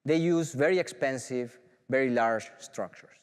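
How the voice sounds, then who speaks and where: A man's voice: mid-range voice, moderate pace, clear, resonant tone, long reverb tail. A middle-aged man from Mexico with a Mexican Spanish accent, giving an enthusiastic conference talk as a professor, with many "uh"s and restarts. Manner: enthusiastic, filler-heavy with frequent restarts. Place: large auditorium.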